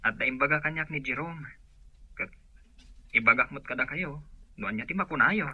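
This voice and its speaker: A man's voice: mid-range voice, moderate pace, breathy edge, no room sound, narrow, tinny audio, close to an everyday voice. A man with an Ilocano accent acting an earnest son seeking a lost twin in a radio drama. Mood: earnest, pleading.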